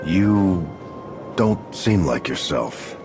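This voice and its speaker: A man's voice: deep voice, lively intonation, drawn-out words, heavily acted. A man playing a principled military leader in a video game cutscene, direct and serious. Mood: concerned. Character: principled male military leader, firm but caring. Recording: loud music behind. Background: music.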